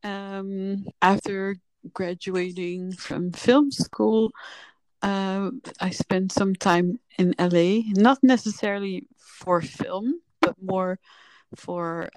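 A woman's voice: medium pitch, fairly even intonation, takes it slowly, darkish tone, no echo, rough recording. A woman in her thirties from the Netherlands, a filmmaker guest telling her story candidly and reflectively in a casual podcast chat.

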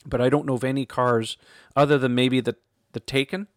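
A man's voice: mid-range voice, steady tone, a little fast, no echo, clean studio sound. Chatty thirties man hosting a podcast. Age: 30s. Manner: chatty, laughs often, frequent fillers. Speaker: podcast host reading listener questions. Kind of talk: casual podcast chat.

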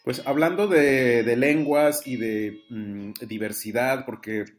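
A man's voice: medium pitch, slow, faint music behind. A man interviewing an author, asking long, formal questions with "eh" and "mm" fillers. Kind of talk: radio interview.

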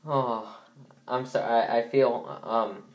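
A young man with a stuffy, congested voice hosts a casual sports podcast. He rambles along, full of ums and restarts, in an everyday mid-range pitch. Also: steady tone, slow pace. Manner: rambling, many fillers and restarts. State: stuffy from allergies. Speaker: podcast host.

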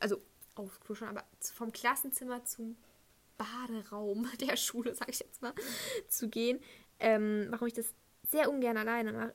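A woman in her late teens chatting casually in a rambling, giggly, self-deprecating way, with youthful slang. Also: a little high. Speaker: high school student sharing anecdotes. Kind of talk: casual, rambling personal chat.

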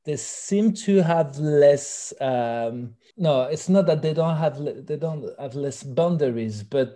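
A man's voice: a little high, slow pace, mellow. A man from France with a French accent answers interview questions conversationally, searching for his words and repeating himself. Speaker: interviewed band musician.

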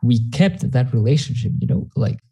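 Dark, husky-voiced young man. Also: mid-range voice, animated. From Mexico. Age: young adult. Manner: halting, many fillers and "actually". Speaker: podcast host. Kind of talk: casual podcast chat.

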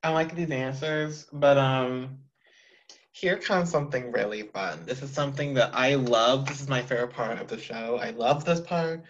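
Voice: medium pitch, moderate pace. A host interviewing a singer, enthusiastic and repeating phrases, heard over dull lo-fi call audio. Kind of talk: casual interview.

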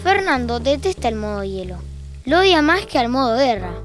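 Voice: sits high, sing-song, moderate pace. A young-sounding performer with an Argentine accent, narrating a scripted audio drama as a wry storyteller. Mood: measured, wry. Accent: Argentine Rioplatense. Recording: music behind the narration.

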